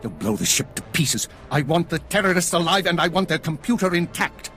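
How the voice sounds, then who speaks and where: An actor playing a gruff, demanding male intelligence chief in a video game, giving brisk, clipped orders. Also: on the high side, lively intonation, fairly bright tone, faint music behind. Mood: firm, pressing.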